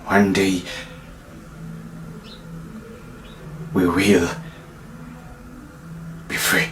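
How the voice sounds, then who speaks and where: A man acting a defiant, resolute young freedom fighter on trial in a dramatic monologue, speaking deliberately with long pauses. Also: sits low, level tone, fairly bright tone, a little hiss or hum, exaggerated voice. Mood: grave, determined. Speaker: actor.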